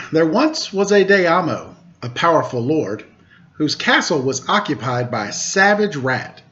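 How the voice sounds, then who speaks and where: A man's voice: fairly high pitch, big pitch swings, unhurried, a bit bright. Man reading stories aloud, dull lo-fi sound. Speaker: storytime host reading children's stories. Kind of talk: read-aloud storytelling with casual introduction. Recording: dull lo-fi recording.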